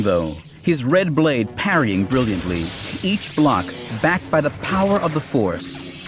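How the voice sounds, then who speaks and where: A man reading an audiobook as narrator, delivering scripted action storytelling in a dramatic style. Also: fairly high pitch. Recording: music behind the narration.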